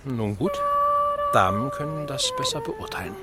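An actor playing a genial, blunt older married admiral in a German radio drama, talking in a chatty, emphatic, hesitating way. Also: male voice, very high-pitched, wildly swooping pitch, moderate pace, loud music behind the voice, heavily acted. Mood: chatty, opinionated.

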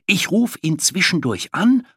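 Children's audiobook: plucky young boy, read by a man, raised pitch, urgent and conspiratorial. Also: very animated, unhurried, slightly rough, dry recording, studio quality, heavily acted. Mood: urgent, secretive. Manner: hushed but urgent. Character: brave, determined young boy, urgently whispering his plans.

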